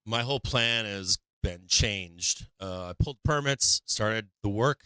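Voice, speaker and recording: A hoarse middle-aged man hosting a casual stock market livestream, rambling candidly and swearing now and then as his voice gives out. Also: lowish voice, unhurried, bright, forceful tone, dry recording.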